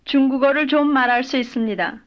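A woman giving careful, measured full-sentence answers as the model speaker in a recorded language drill. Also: high-pitched. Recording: dull lo-fi old lesson tape.

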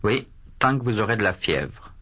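A man voicing a calm doctor in a language course drill, speaking slowly and clearly, with repeated phrases. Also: on the low side. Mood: measured. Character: calm adult male doctor. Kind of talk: scripted language drill dialogue. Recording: dull lo-fi course tape.

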